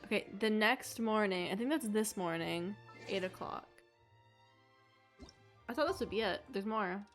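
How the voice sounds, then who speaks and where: A woman giving casual, playful livestream commentary on a game, with excitable reactions. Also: medium pitch, a little slow, faint music behind. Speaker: streamer playing a story game.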